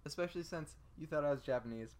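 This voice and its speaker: A man's voice: on the high side, very fast, darkish tone. Teasing young man in casual banter. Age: young adult. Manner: teasing, playful. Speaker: podcast co-host.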